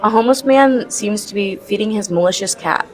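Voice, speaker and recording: A woman's voice: mid-range voice, fairly quick, crowd noise, natural voice, low-quality recording. A woman narrating a comic student radio play from a script. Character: storyteller of a comic melodrama.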